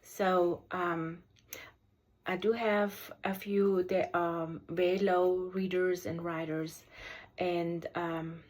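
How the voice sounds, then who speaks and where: German-accented woman teacher reviewing an app, lots of ums and restarts, lowish voice. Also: flat delivery. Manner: halting, many ums and restarts. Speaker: special education teacher.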